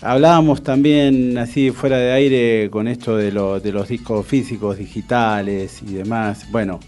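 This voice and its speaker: A man with an Argentine accent hosts a radio interview. He speaks casually and conversationally in a medium-pitched voice. Light music runs behind him. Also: fairly even intonation, unhurried, a little mellow.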